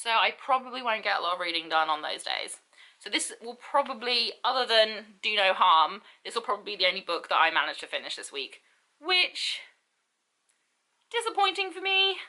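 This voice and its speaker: A woman's voice: on the high side, sharp tone. A young woman vlogging casually to camera, rambling with many ums and repeats. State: stuffy, sore throat, lethargic. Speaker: book vlogger. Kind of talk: casual vlog talk to camera.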